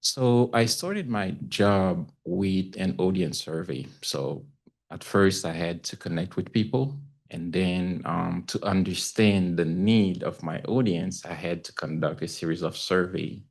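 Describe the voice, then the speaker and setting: A man with a Haitian accent speaking as a panelist about his own experience, haltingly and searching for words. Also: low-pitched, gravelly. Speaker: panelist, community news correspondent. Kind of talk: panel talk, personal account.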